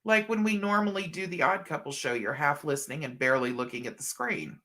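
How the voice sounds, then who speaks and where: A woman's voice: sits low, a little fast, bright, forceful tone. A middle-aged woman hosting a casual livestream, stuffed up and a bit scratchy from allergies, chatting affectionately with viewers. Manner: affectionate, chatty, calls viewers hun and honey. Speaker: livestream host. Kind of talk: casual livestream chat reading comments.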